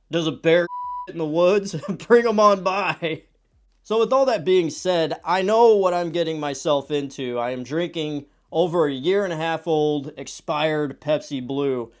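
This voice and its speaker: Chatty stuffed-up man reviewing soda. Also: fairly high pitch. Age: 30s. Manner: chatty and animated, acts out quoted voices. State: stuffed up from a cold. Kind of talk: casual product review vlog. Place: home room with shelves of soda cans. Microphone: camera at desk distance.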